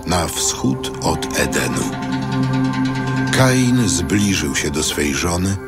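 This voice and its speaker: Male narrator for scripture: deep, solemn, slow, measured, scripted, music underneath. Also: sing-song, gravelly. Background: loud music.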